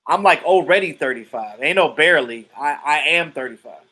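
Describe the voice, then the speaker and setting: A man in his thirties hosts a live sports stream and talks to his viewers in a loose, unscripted way. He is blunt and outspoken and swears freely, and his voice sits a little high for a man. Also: expressive, fairly quick, pushed and bright. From Jamaican family roots. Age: 30s. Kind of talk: casual sports chat reading viewer comments.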